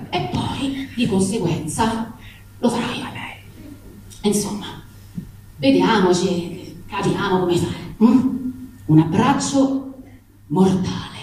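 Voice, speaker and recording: A woman giving a dramatic reading of a comic letter in a pointed, deliberate manner with theatrical pauses. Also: mid-range voice, a little mellow. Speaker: reader at a live book reading. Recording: very echoey room, distant mic, faint noise.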